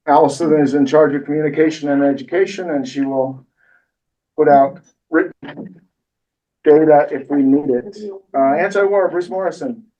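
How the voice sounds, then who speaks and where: A man hosting a community meeting in a room, making announcements in a relaxed, joking way. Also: on the high side, moderate pace, pauses often. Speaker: meeting host. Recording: dull audio, voice from far off.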